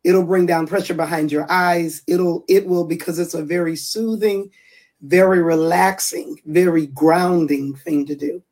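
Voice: mid-range voice, steady tone, measured pace, darkish tone. Earnest, emphatic guest speaker on a call. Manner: earnest, emphatic, repeats key phrases for stress. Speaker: public speaker and trainer, podcast guest. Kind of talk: casual interview chat. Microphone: remote call.

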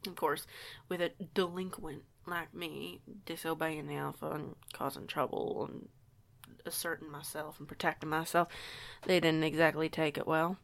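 A woman's voice: sits low, creaky. A woman voicing a guarded eighteen-year-old werewolf delinquent who stays polite, with a folksy, hesitant manner, in an audio roleplay. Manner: folksy, hesitant, stammering, deferential.

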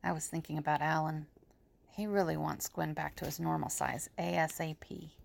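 A woman's voice: low-pitched, level tone, normal speed, vocal fry. A woman playing a practical, serious, organized character in an audio drama.

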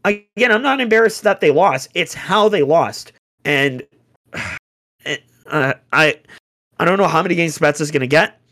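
A young man on a casual sports chat, ranting in an exasperated way with rhetorical questions, his voice going hoarse. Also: on the high side, animated, normal speed, sharp tone. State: getting hoarse. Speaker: podcast co-host, hockey fan.

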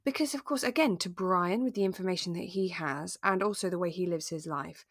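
A woman co-hosting a podcast, talking through a drama in a relaxed, thoughtful, explanatory way. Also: lowish voice, brisk, no echo, studio quality. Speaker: podcast co-host. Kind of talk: relaxed conversational discussion of a radio drama.

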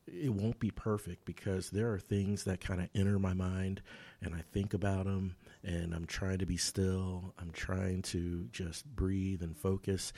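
A man hosts a casual interview with a very deep voice. He is supportive and agreeable, with frequent "uh" fillers and short affirmations. The sound is dry and close. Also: vocal fry, warm tone, husky, no room sound. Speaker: podcast host.

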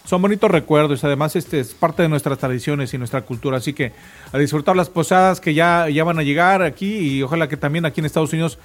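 A man's voice: on the high side, fast talker. A man with a Mexican accent hosting a casual morning show chat. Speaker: radio show host.

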